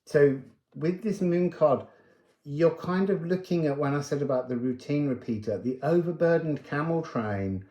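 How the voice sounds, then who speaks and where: A man giving an unscripted spiritual card reading, musing aloud with pauses and emphatic phrases. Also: on the high side, mellow, echoey room. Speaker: card reader and spiritual guide.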